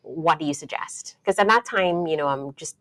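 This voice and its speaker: A woman in her thirties from Trinidad and Tobago with a Trinidadian accent, a podcast guest telling her career story in a thoughtful, unhurried way with many fillers. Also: low voice, a bit bright. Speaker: guest engineer. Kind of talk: podcast interview.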